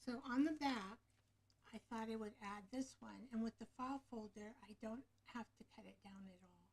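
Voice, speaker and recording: A woman with a soft, low voice hosting a relaxed craft-along show, chatting to herself as she works, picked up by a camera microphone right in front of her. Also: moderate pace, a little hiss or hum, low-quality recording. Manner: soft, low-voiced, thinking aloud while working.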